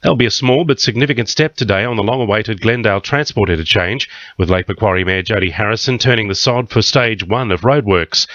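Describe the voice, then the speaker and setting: A man's voice: lowish voice, a bit bright, no echo. A man with an Australian accent reading a scripted news bulletin on the radio from a studio. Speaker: radio newsreader. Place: dry broadcast studio. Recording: dull radio broadcast audio.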